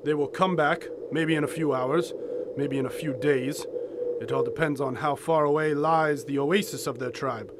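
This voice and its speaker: Man acting a devout Afghan warrior, high-pitched over noisy ambience. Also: fairly even intonation, normal speed, lightly acted. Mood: grim, urgent. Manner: formal, archaic, florid phrasing. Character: devout, fierce Afghan fighter, loyal sidekick to an American adventurer. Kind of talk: audio drama acting.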